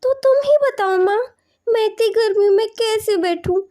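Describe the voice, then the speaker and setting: A woman performs a young girl from a cartoon moral story. The voice is extremely high yet mellow, with a complaining, pleading manner that wheedles like a child. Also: moderate pace. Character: young daughter, whiny and pleading about the heat. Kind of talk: animated moral story dialogue.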